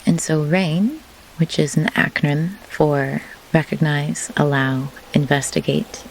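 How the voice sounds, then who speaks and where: A woman leading a guided meditation in measured, deliberate phrases with pauses, as a host who works as a grief doula. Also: deep voice, low-quality recording. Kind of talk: slow guided meditation instruction. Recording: clear pink-noise rain hiss behind. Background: pink noise like steady rain.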